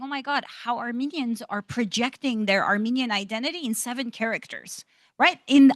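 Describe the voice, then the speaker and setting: A woman's voice: a little high, a bit bright, dry recording, clean studio sound. A woman speaking as an institute director on an academic panel, articulate and enthusiastic, encouraging students. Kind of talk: panel discussion answer. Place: lecture hall panel event.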